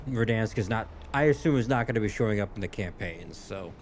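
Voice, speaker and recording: A man's voice: on the low side, animated, fast talker, soft background music. A man giving casual, rambling reaction commentary as a YouTuber. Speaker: YouTuber reacting to game cutscenes.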